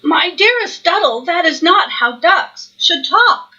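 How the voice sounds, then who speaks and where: A fussy lady goose from a picture book, put on by a woman reader. She scolds in a high, bright voice, flustered and proper. The recording is a bit dull. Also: animated, slow pace, full character voice. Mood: indignant, fussy. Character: fussy, indignant female storybook goose.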